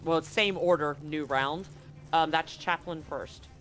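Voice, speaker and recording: A man acting as game master, narrating and explaining a tabletop scene in a casual, halting way that often rephrases. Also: a little high, fairly bright tone, faint music behind, low-quality recording.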